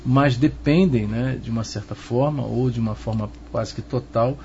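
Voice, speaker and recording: A dull, slightly noisy recording carries a middle-aged man with a Carioca accent. He speaks like a professor being interviewed, explaining step by step in a measured way and often seeking a nod of assent. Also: a little mellow. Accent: Carioca Brazilian Portuguese. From Rio de Janeiro, Brazil. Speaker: interviewed expert, professor of security. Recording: muffled low-fi sound, noticeable background noise.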